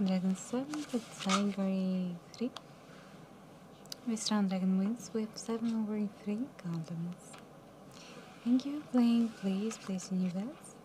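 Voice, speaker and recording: A young woman dealing cards at a live casino table, calling results in a soft, mumbly voice that sinks toward a whisper. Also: medium pitch, unhurried, background noise. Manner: soft, mumbly, drops to near whisper at round ends. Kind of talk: calling card game rounds.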